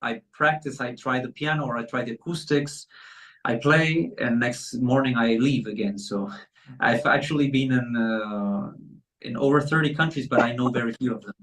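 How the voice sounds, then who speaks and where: A man's voice: mid-range voice, brisk, a bit bright, far from the mic, low-quality recording. A man with a Spanish accent, a concert pianist, answering interview questions hesitantly with many fillers.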